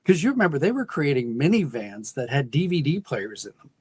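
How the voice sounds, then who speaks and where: A middle-aged American man giving thoughtful, explanatory answers as a guest in an interview, pausing and restarting as he goes. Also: a little high, very animated, darkish tone, husky, no room sound. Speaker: guest, audio drama writer and producer.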